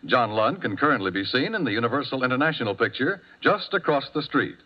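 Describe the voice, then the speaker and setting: A man's voice: mid-range voice, sing-song, quick pace. An American man announcing scripted credits for a 1950s radio show in a formal, declamatory manner. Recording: dull vintage 1950s radio recording.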